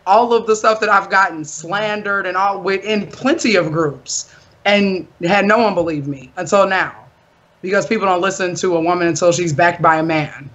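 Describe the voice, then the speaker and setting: A young woman with a deep, loud voice, arguing firmly and defensively in a casual talk show. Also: moderate pace, lo-fi sound. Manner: firm, defensive, insistent. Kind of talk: heated casual argument.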